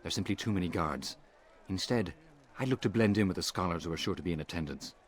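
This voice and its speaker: A man's voice: low voice, fast talker, lightly acted. An actor playing an anxious male assassin who pleads apologetically for help. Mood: pleading.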